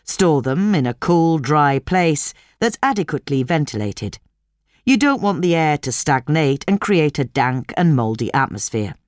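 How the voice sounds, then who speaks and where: A woman's voice: deep voice, animated, a little slow, dry recording, clean studio sound. A woman with a British accent reading a scripted gardening article for a podcast. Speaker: podcast reader.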